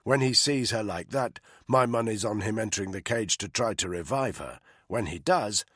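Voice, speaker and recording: A hard-bitten soldier giving quiet, clipped orders in a low, grim voice, as a narrator plays him. The voice is husky and dry, close and unadorned. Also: male voice, moderate pace, no room sound. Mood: grim, commanding. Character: hard-bitten male soldier, commanding and calm.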